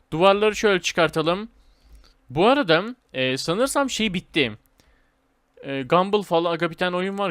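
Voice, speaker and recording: A man giving casual, excitable gameplay commentary in informal slang, talking straight to his viewers. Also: sits high, clear, resonant tone, no echo, clean studio sound. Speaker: gaming video host.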